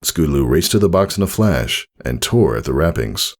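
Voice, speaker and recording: Low-voiced man narrating a story. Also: very animated, normal speed. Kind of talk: scripted fan fiction narration.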